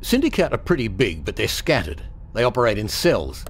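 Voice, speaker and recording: An actor playing a measured military commander, terse and questioning, in a video game briefing scene. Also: male voice, fairly low pitch, huge pitch swings, a little hiss or hum. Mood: grave, measured.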